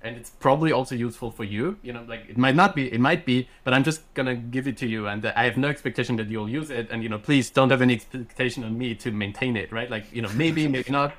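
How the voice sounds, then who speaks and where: A man in his thirties, raised in Austria with German as his native tongue, talks as an interview guest. His speech is excited and loose, full of fillers and quick self-corrections, at an ordinary middle pitch. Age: 30s. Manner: excited, many fillers and restarts. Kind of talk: casual podcast interview.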